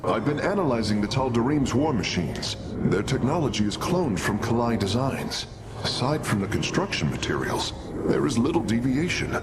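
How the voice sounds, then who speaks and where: A man's voice: mid-range voice, very animated, moderate pace, heavy background noise, natural voice. A male actor playing a learned, earnest alien engineer in a video game, speaking formally and precisely with dramatic weight. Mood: intrigued. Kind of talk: video game cutscene acting. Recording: loud game music behind.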